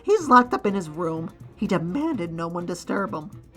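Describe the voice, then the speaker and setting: Woman as a lively, smug cartoon villain inventor. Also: fairly low pitch, normal speed, soft background music. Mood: wry, scheming. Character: wry, scheming villain inventor henchman. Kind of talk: amateur fan-dub animation acting.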